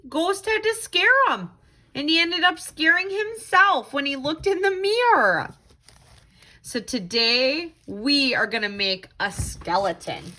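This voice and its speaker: High, animated woman reading to children. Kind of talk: expressive read-aloud and craft lesson for children.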